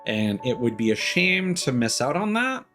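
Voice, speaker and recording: A male streamer talks through a game in a casual, unscripted way. His mid-pitched voice wanders and halts as he thinks aloud, with game music playing behind him. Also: sing-song, fairly bright tone. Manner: rambling, halting, thinking aloud. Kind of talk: casual live game commentary.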